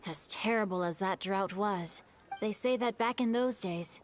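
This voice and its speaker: A woman playing a bookish, courteous teenage boy in a video game, telling things in a polite, measured, articulate way. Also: medium pitch, natural voice. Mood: thoughtful, polite. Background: game music.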